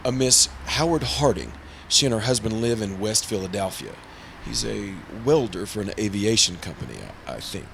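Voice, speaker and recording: A man's voice: lowish voice, expressive, normal speed, gravelly, a bit bright, slight background noise. A man playing a prim, earnest, easily flustered insurance broker in old-time radio drama style. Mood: flustered, defensive. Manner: prim, formal, exasperated. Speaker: supporting actor in a radio play.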